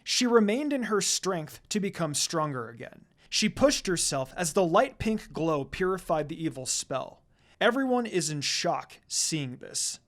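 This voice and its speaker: A man reads fan fiction aloud as the narrator. His voice sits on the higher side, and he delivers scripted story prose. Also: very animated, unhurried, fairly bright tone.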